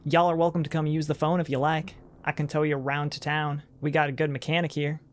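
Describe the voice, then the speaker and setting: A man's voice: fairly high pitch, level tone, fast talker, natural voice. A man voicing a jovial, folksy farmer with a Southern drawl. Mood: hearty.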